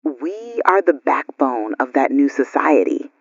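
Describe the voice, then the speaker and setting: A man playing an earnest survivor and radio co-host in a radio drama, speaking deliberately. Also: medium pitch, big pitch swings, slightly rough, no room sound, highs cut off, exaggerated voice. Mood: earnest pride. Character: earnest male radio co-host, anxious yet hopeful survivor.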